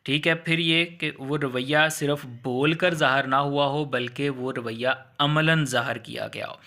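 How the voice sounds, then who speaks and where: A man's voice: a little high, bright, forceful tone. A man with a Pakistani accent giving an explanatory law lecture in a conversational, step-by-step way, repeating points and mixing in English legal terms. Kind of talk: explanatory lecture with hypothetical examples.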